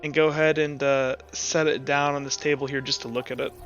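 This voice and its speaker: A man narrating a tabletop game as game master, improvising in a casual, halting way. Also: mid-range voice, level tone, fairly bright tone, dull audio, soft background music.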